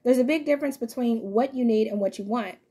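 A woman's voice: on the high side, quick pace, dark tone, breathy edge. A young woman reading tarot cards to the camera from her living room couch. She talks loosely and chattily to her viewers, addressing them as y'all, and reads guidebook passages aloud. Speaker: tarot reader. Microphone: fixed camera a few feet away.

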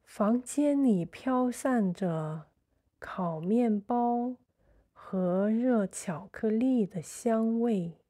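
A woman narrating a guided sleep meditation in a soothing, measured way, in short phrases with pauses. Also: on the high side, lively intonation, dark tone.

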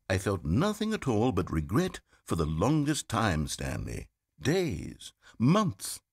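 An actor voicing a bitter, grandiose male narrator in a game, speaking in a measured, dramatic and theatrical way. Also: medium pitch, slightly rough, heavily acted.

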